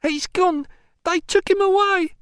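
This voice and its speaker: A very high, tearful young woman, as a male narrator acts her out, an enslaved mother in an audiobook. She is distraught and bitter, wailing her accusations in a close, dry recording. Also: normal speed, dry recording. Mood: anguished.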